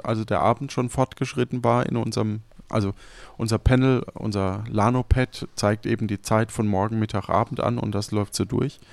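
A man hosting a podcast, recapping in a casual, halting and rambling conversational way with plenty of agreeing. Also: mid-range voice, gravelly, dry recording. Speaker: podcast host recapping an improvised game.

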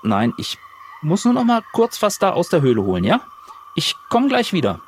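Guy improvising a role-play adventure, really lively pitch, big echoey reverb. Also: normal speed. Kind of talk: improvised in-character dialogue, casual. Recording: roomy, reverberant, faint background noise.

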